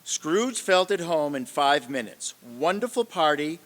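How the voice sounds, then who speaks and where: A man's voice: fairly high pitch, animated, measured pace, fairly bright tone. A man narrating a story in a theatrical style for an old-time radio reading. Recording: reverberant hall stage recording.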